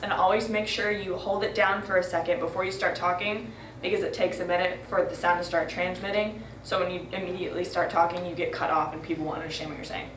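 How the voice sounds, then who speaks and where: A woman's voice: mid-range voice, a bit bright. A young woman speaks in a friendly, direct way to her crew. She is recorded from a distance in an echoey room. Faint background noise can be heard. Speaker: student crew tutorial presenter. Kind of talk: casual how-to explanation. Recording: roomy, far from mic, faint noise.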